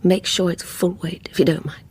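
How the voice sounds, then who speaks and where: An obsessive, guilt-ridden English woman for radio drama. Her voice is markedly husky and breathy, often sinking towards a whisper. She speaks as if confessing, with brooding intensity. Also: lowish voice, steady tone, a little fast, darkish tone. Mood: cold resolve. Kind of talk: radio drama acting with intimate first-person narration.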